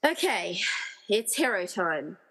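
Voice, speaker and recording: A woman's voice: mid-range voice, sing-song, slow, fairly bright tone, husky, exaggerated voice. Woman playing an earnest heroine in echoing hall. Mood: confident. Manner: earnest, questioning. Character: earnest, determined young woman on a rescue quest. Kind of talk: amateur radio play acting. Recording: reverberant, hall-like room sound.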